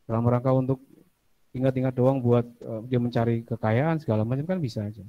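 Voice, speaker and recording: A man's voice: mid-range voice, fairly even intonation, brisk, dull audio. A man teaching a casual classroom lecture, chatty, asking students questions and drifting into asides.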